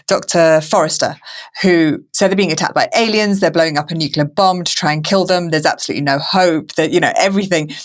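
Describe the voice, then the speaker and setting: A woman with a slightly low voice hosts a casual film discussion. She talks conversationally, as a podcast host among fellow guests.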